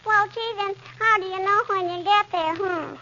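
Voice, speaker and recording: A woman's voice: extremely high pitch, moderate pace. A woman playing a cheeky, chatty little girl who pesters with questions in an old radio comedy. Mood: cheeky, pleading. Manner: pestering, says "hmm?" and "I betcha". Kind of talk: 1940s radio comedy acting. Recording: muffled old radio recording, hiss, studio audience.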